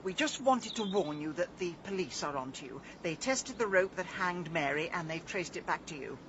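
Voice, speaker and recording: An actress playing a bossy, impatient amateur detective in a radio drama, with an English accent and a brisk, sardonic manner. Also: female voice, lowish voice, animated, close to an everyday voice. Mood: bluffing. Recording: dull sound, clear background noise.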